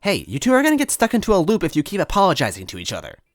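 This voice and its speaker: A man's voice: high voice, wildly swooping pitch, racing, no room sound, studio quality, soft background music, voice pushed up. A man voicing a pushy, exasperated small female companion in a story.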